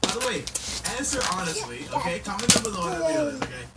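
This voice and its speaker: A man's voice: extremely high pitch, big pitch swings, quick pace, very noisy. A man presenting a YouTube video, repeating earnest warnings and asides to viewers. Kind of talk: scripted video intro. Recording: clip played from far off under loud music.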